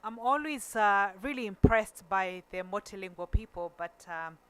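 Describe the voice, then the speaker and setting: A woman's voice: mid-range voice, animated, a bit bright, clean tone. Woman giving a conference talk. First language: Kamba. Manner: deliberate and slow, with fillers and restarts. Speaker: conference speaker. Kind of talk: conference presentation.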